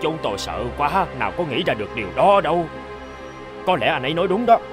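Dramatic frightened village man, animated. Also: a little high, quick pace, over music. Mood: anxious. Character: frightened, superstitious village men in an old folktale. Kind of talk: animated folktale dub acting.

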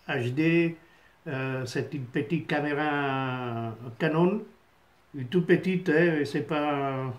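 Italian-accented man rambling slowly. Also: a little high. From Italy. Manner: halting, many fillers and restarts. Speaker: vlogger. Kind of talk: casual rambling monologue to camera. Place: small home office indoors. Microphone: phone camera on a stand at desk.

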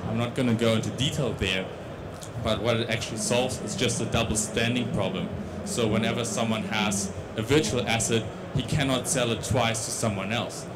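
A man's voice: medium pitch, steady tone, moderate pace, heavy background noise. A young man with a Dutch accent giving a tech conference talk on a large stage into a handheld mic, with many restarts and fillers. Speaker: conference speaker.

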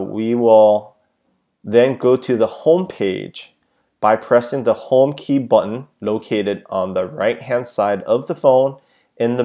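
A man's voice: lowish voice, warm tone. A man giving a plain, methodical step-by-step how-to narration, with frequent filler sounds, as a tech tutorial presenter.

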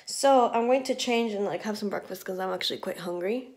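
A woman in her twenties chatting casually to her phone in a small bedroom, full of "like" and little giggles, her voice a bit croaky. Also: mid-range voice, a little fast, a little mellow. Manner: chatty, lots of "like", giggly asides. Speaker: vlogger. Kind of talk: casual vlog chat. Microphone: phone held at arm's length.

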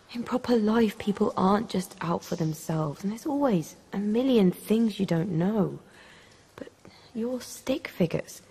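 An actress playing an indignant young philosophy student in a radio drama, blunt, earnest and plain-spoken. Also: female voice, medium pitch, vocal fry, warm tone, husky, heavily acted.

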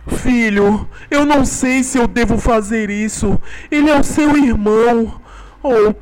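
A man voicing a gentle, worried father dinosaur who reassures his young hesitantly. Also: very high voice, measured pace, background noise.

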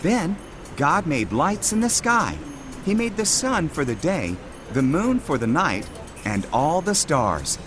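A man narrating a children's Bible storybook in an expressive, scripted storytelling manner. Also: a little high, takes it slowly. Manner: expressive storytelling with emphatic short exclamations. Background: music.

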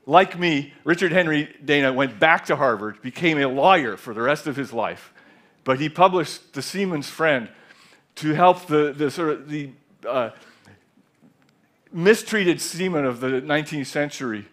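A man in his sixties with a slightly high voice, speaking at a measured, reflective pace from a large theater stage. He picks up from small restarts and tells his story calmly through a headset mic. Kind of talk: conference talk.

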